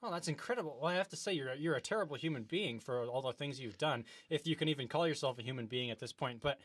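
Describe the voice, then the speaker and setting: A man's voice: medium pitch, quick pace, a bit bright, no room sound. A man hosting an improvised comedy talk-show interview, speaking in a hesitant, earnest, wide-eyed way.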